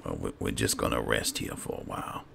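A man with a very deep, gravelly voice giving casual, unhurried roleplay commentary as he plays a video game. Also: noisy background. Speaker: gaming channel host, player.

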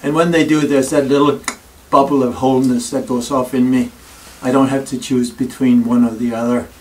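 An older man with a Danish accent gives a reflective talk in a wood-paneled library room. His tone is thoughtful and wry, with pauses and self-deprecating asides. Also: medium pitch, little pitch movement. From Denmark. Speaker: speaker giving a library talk. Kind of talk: reflective public lecture. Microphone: far camera. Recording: distant room recording with faint noise.

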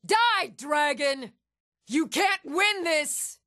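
An actress playing a steady, firm young woman in a fantasy video game, speaking with measured, earnest delivery. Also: high voice, no echo, studio quality, heavily acted. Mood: fierce, panicked. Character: even-toned young adult woman of a fantasy world, steady and stern.

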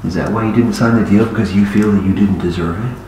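An actor playing a calm adult investigator who gently coaxes a young witness. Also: male voice, lowish voice, quick pace, natural voice. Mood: coaxing. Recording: echoey, distant, noisy.

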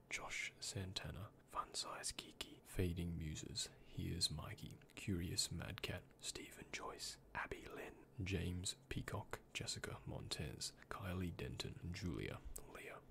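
A young man speaking in a breathy, hushed ASMR whisper, gently and unhurriedly, close to the microphone as in a doctor roleplay. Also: low voice, unhurried, hardly any pauses. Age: young adult. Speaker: ASMR creator playing a doctor. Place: indoors, close before a dark backdrop.

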